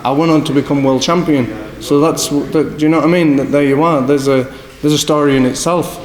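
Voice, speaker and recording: Young boxer with a northeast English accent. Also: male voice, fairly high pitch, steady tone, a little fast, darkish tone. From Scotland. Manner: rambling, repeats "do you know what I mean". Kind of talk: casual interview answers. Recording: echoey hall with faint background noise.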